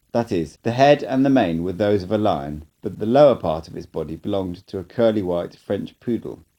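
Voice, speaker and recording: A man reads an audiobook aloud in calm, measured first-person narration. His voice sits low and has a mellow timbre. It is an amateur reading, not a professional one.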